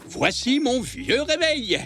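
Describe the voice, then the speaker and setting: Slow, swooping cartoon grandpa zebra. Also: male voice, extremely high pitch, big pitch swings, a bit bright, soft background music, full character voice. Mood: kindly explaining. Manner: hesitant, drawn-out, explanatory. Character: kindly, patient old grandpa zebra, easily muddled. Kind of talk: French children's cartoon dubbing.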